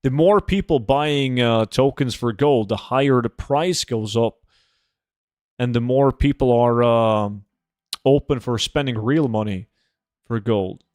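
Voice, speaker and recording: A man's voice: mid-range voice, unhurried, a little mellow, no room sound, clean studio sound. A man from Norway, chatting casually and bluntly as he livestreams a game, swearing freely. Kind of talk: casual livestream chat while gaming.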